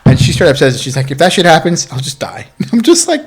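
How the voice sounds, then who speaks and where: A man's voice: on the high side, wildly swooping pitch, fast talker. A man in casual podcast conversation, speaking bluntly in slang and swearing freely.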